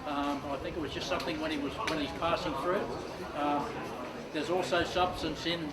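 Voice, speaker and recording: A man's voice: on the high side, fairly even intonation, normal speed, a loud crowd. Hesitant man, echoey distant press room. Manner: hesitant, careful. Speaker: boxing official at press conference. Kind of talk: press conference statement. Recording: echoey room, distant mic, loud noise.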